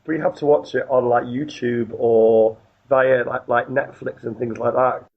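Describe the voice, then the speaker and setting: A man's voice: medium pitch, steady tone, normal speed, phone-quality sound. A man hosting a radio interview, asking questions and agreeing easily, often ending with tag questions. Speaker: radio host.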